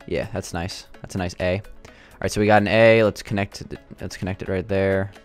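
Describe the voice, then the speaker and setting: A young man with a slightly raspy, sore throat, thinking aloud with lots of fillers as he builds in a game. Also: low-pitched, fairly even intonation, a little fast, faint music behind. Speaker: gaming channel host. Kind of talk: casual gameplay commentary.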